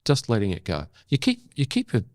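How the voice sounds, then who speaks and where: A seventy-year-old Australian man talking reflectively as an interview guest, thoughtful and halting, repeating words for emphasis. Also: mid-range voice, huge pitch swings, darkish tone, no echo, studio quality. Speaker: interview guest, former journalist and actor.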